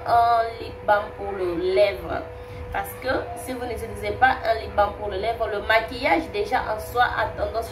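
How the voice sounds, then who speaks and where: A young woman with a cold, chatting through a makeup tutorial and often repeating herself. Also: medium pitch. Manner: chatty, repeats words, many fillers. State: has a cold. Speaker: beauty vlogger. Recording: roomy, distant, noisy home recording.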